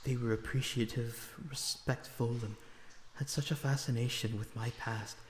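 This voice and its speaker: A man playing a lonesome, remorseful, gentle vampire prisoner in an audio roleplay, speaking in an earnest, halting, emotional way. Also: medium pitch, natural voice. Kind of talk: dramatic audio roleplay acting. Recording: echoey reverberant dungeon-like sound, background noise.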